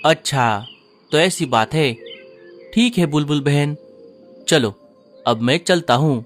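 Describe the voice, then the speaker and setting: A caring sparrow husband reassures his wife in a slightly raised, gentle voice. Soft music plays behind him. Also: a little high, expressive, slow.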